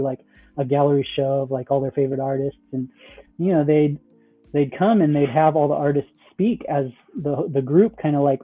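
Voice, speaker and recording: A man talking as a podcast guest in casual conversation, rambling with lots of fillers and tag questions. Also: on the high side. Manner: rambling, many "like", "you know" and "right?". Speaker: guest, metaverse project founder. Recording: tinny phone-like call audio.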